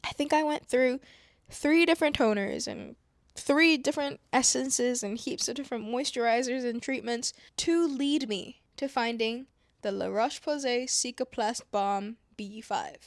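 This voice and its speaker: A young woman from Indonesia chatting calmly to camera, soft-spoken with whispery asides and self-mocking laughs. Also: fairly high pitch, measured pace, no room sound, studio quality. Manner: playful, laughing at herself. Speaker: vlogger. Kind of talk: calm casual chat to camera. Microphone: wireless clip-on mic.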